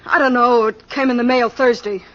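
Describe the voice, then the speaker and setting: An actress playing a hostile, drunken, greedy American aunt in an old radio crime drama, slangy and defensive. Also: female voice, on the high side, fairly even intonation, moderate pace, a bit bright, close to an everyday voice. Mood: evasive. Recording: dull lo-fi old radio recording.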